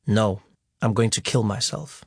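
A man voicing a despairing grown man who speaks flatly and with resignation in a storybook reading. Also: on the low side, a little slow, creaky, slightly rough, no room sound, studio quality, heavily acted. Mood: resigned.

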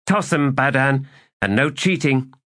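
A man's voice: medium pitch, unhurried, a bit bright, voice pushed up, natural voice. A man reading an audiobook, voicing a cocky, taunting female soldier with a teasing, glib manner.

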